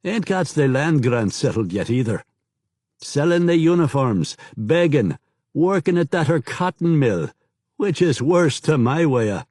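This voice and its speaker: A man voicing a rough-spoken veteran soldier scout who talks in coarse slangy dialect and keeps deferring to his officers. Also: a little high, very animated, measured pace, a little mellow, dry recording, clean studio sound, pitched above the performer's own voice. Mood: gruff report.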